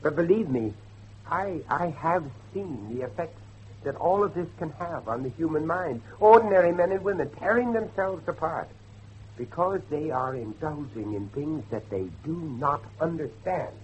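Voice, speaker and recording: A man acts out an intense, brooding father in a horror drama, haunted by grief and talk of devilry. His pitch swings widely between grave pauses and emphatic outbursts. The recording is dull, with faint noise behind it. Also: expressive, lightly acted. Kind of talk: dramatic horror dialogue acting.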